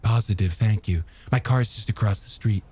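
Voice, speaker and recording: A man's voice: lowish voice, moderate pace, dark tone, husky. A man voicing a wistful, nostalgic married woman in an audiobook, reminiscing with some hesitation. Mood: gentle. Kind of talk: audiobook character dialogue.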